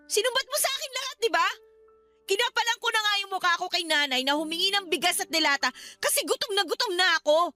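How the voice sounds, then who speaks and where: An actress playing a proud, hurt younger sister in a radio drama, speaking in an accusing, sarcastic manner. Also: female voice, extremely high pitch, pushed and bright, exaggerated voice. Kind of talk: Tagalog radio drama acting.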